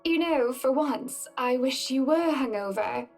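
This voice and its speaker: An actress playing a poised, weary, sardonic wife in a game, with a measured, dry delivery. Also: female voice, sits high, animated. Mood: dry, teasing. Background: game music.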